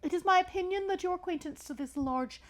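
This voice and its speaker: A woman's voice: extremely high pitch, quick pace, pitched above the performer's own voice. A woman voicing a pompous, urgent, wordy python rock snake in a storybook, speaking emphatically.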